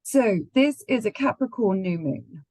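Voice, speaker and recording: Woman astrologer, sick and croaky, casual and chatty, lots of ums. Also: medium pitch, lively intonation, unhurried, a little mellow, no room sound, low-quality recording. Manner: chatty, laughs often, many ums. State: croaky from the flu. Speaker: astrologer co-host.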